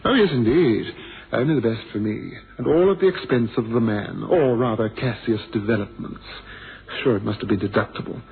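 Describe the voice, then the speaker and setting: An actor playing a blind former pilot, theatrical and bitterly witty, with a wry, wordy, ironic manner, in a radio drama. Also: male voice, mid-range voice, brisk, slightly rough. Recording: noisy, thin phone-like old radio recording.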